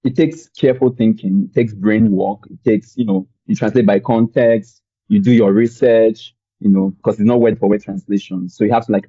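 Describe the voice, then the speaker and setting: A young man from Nigeria with a Nigerian accent, telling his story as a podcast guest in a relaxed, rambling way with many fillers. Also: on the low side, breathy edge, dry recording, dull audio. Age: young adult. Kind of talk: conversational storytelling.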